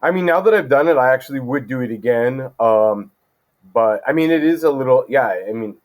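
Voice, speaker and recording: Relaxed man thinking aloud, mid voice. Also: medium pitch, a little fast. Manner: relaxed, thinks aloud with many ums and restarts. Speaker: guest judge. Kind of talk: casual interview talk.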